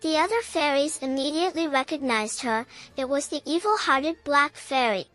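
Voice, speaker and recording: A woman reading a children's bedtime story aloud in a slow, gentle storytelling manner. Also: high voice, no echo. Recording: with faint background music.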